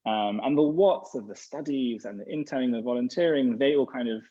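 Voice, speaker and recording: Rabbi, mid-pitched man, rambly casual answers on a lo-fi video call. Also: brisk, warm tone. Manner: rambling, restarts often. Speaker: rabbi representing a gap year program. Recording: dull lo-fi sound.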